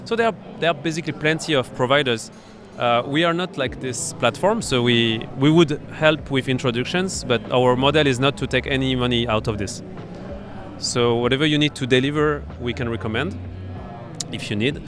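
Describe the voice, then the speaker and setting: A man with a French accent, a startup accelerator founder being interviewed at a startup event, explaining his business in a halting way full of fillers and restarts. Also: mid-range voice, music in the background. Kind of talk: conversational interview.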